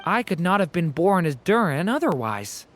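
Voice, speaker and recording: An actor playing an earnest, gentle, idealistic young male mage in a fantasy game, speaking sincerely and a little hesitantly. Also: sits high, sing-song, normal speed. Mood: earnest, thoughtful. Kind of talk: scripted game dialogue. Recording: music clearly under dialogue.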